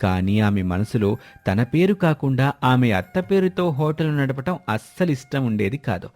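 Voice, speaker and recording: A man's voice: mid-range voice, expressive, moderate pace, a little mellow. Man narrating a scripted story over music.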